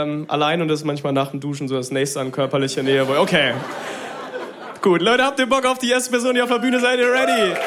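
A man warming up a live audience as a comedy show host, speaking informally in a rambling, hesitant way on stage. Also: on the high side, slight background noise. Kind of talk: stand-up crowd warm-up.

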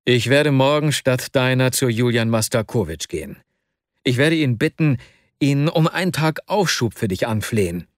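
A man's voice: medium pitch, expressive, moderate pace, no echo, clean studio sound, lightly acted. A man reading a story, voicing an anxious, caring young male friend in an earnest, urgent tone. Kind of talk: audiobook character voice.

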